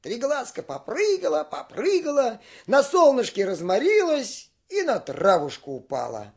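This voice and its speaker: High-pitched male fairy-tale storyteller. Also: unhurried, gravelly, husky. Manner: expressive, singsong folk storytelling. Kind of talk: scripted fairy-tale narration.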